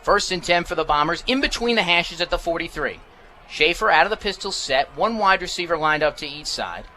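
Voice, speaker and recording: A very bright, forceful American man delivers live football play-by-play on the radio. His voice sits a little high and he describes each play in clipped phrases, over faint background noise. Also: expressive, fairly quick. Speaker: play-by-play sports announcer.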